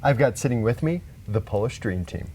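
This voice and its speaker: Brisk male real estate radio host. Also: medium pitch, expressive, mellow, slight background noise. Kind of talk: casual radio interview.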